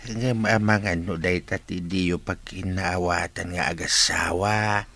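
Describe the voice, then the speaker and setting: Man acting a gravelly, slow old father. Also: low-pitched, fairly even intonation, fairly bright tone, exaggerated voice. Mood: worried. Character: old, good-natured father of grown children. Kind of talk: radio drama acting.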